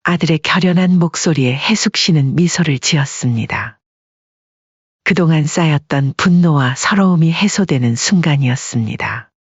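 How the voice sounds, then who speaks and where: A woman narrates a scripted family drama as an audiobook. Her voice is husky and mid-pitched. The recording is dry and slightly muffled. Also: normal speed, dry recording. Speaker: story narrator. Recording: dull lo-fi audio.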